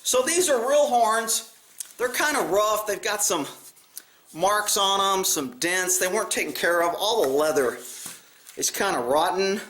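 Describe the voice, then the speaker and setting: An American man with a notably high voice talks casually to his viewers. He rambles in a plainspoken, folksy way and curses mildly. The sound is clearly echoey and roomy. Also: expressive, measured pace, a bit bright. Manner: rambling, blunt, folksy with mild cursing. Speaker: video channel host. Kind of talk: casual vlog chat.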